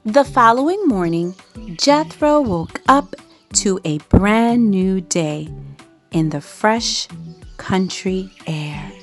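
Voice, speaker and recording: A woman reading a children's story aloud in an expressive way, drawing out words for dramatic emphasis. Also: mid-range voice, slow pace, faint music behind. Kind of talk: expressive read-aloud narration for young children.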